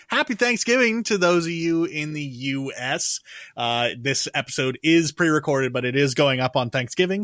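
A man's voice: on the high side, a bit bright, dry recording, studio quality. A man hosting an online show, introducing it in a casual, chatty style. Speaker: show host.

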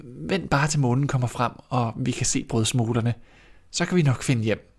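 A man voicing an eager, brave young boy in a fairy tale, speaking high and excitedly. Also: gravelly. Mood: reassuring.

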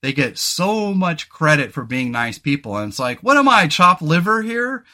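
A man's voice: very animated, moderate pace, pushed and bright. A man hosting a podcast in casual chat, talkative, swearing casually and joking about himself. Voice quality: deep booming voice. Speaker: podcast host.

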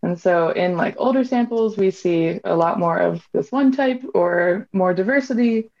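A woman's voice: mid-range voice, moderate pace, a little mellow. A woman in her twenties from Hawaii, a PhD student talking casually about her research, full of "like" fillers. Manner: chatty, many "like" fillers. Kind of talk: casual interview talk. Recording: dull lo-fi sound.

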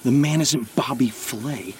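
An actor as an anxious man in an audio drama, hesitant and suspicious, with a sarcastic edge. His pitch sits in the middle, and faint noise runs under the voice. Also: unhurried, natural voice. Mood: suspicious, wry. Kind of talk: audio drama acting, naturalistic.

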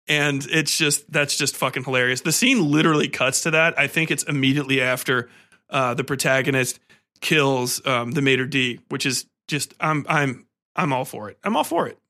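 A man podcast host giving a casual, rambling film review, enthusiastic and profane, often halting and repeating himself. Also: on the high side. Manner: animated, enthusiastic; profane, slangy.

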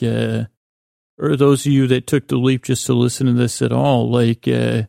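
A man hosting a bedtime podcast in a creaky, lulling voice, meandering gently and haltingly through a soothing monologue and often interrupting himself. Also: mid-range voice, no room sound, clean studio sound. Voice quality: creaky, gravelly. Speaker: podcast host.

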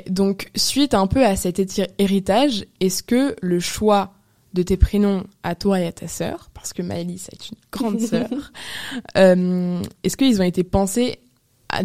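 A woman's voice: medium pitch, dark tone. A young woman from France hosting a podcast, interviewing in a casual, conversational way. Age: young adult. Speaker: podcast host.

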